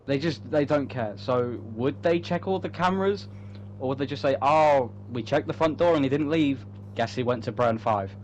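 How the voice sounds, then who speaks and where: A man's voice: mid-range voice, moderate pace, few pauses, darkish tone, muffled recording, slight background noise. A man chatting casually as a writer about his own story, emphatic and rambling, with some swearing. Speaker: writer of the story being read. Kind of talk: casual chat.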